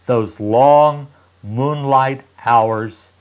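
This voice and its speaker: A man in his sixties reading a children's story aloud expressively, with deliberate pauses and dramatic emphasis. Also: medium pitch. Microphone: lapel mic.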